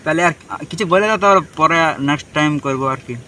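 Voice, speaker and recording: Fast-talking man with a bright voice. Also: fairly high pitch, a little hiss or hum. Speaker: prank-call vlogger, host. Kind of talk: casual chat to viewers and on a phone prank.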